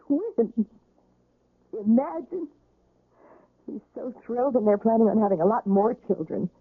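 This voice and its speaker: A woman's voice: fairly high pitch, husky, dry recording, full character voice. An actress playing a sentimental working woman who is a hospital patient, in a 1970s-style radio drama. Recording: dry, thin phone-like old radio recording.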